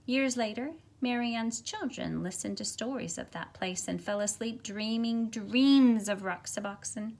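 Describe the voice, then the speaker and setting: A middle-aged woman, a teacher, speaking expressively and deliberately as if to young students during a picture book read-aloud. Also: mid-range voice, creaky. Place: carpeted room at home, indoors. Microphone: phone at arm's length.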